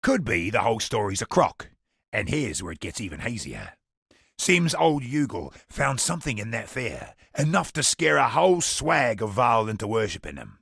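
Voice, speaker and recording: An actor playing a chatty, wry merchant in a fantasy video game, with a gravelly, husky voice. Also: male voice, fairly low pitch, sing-song, normal speed, fairly bright tone, dry recording, clean studio sound, heavily acted. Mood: wry storytelling. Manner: colloquial, wry storytelling with British slang. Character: roguish, chatty male merchant, wry and worldly. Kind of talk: scripted video game character dialogue.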